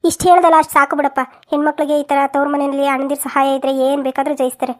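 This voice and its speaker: A woman's voice: high-pitched, level tone, quick pace, close to an everyday voice. A woman voicing a frank, protective older village aunt in a cartoon family drama, emphatic and colloquial, with a rural southern Karnataka accent. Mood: firm, advising.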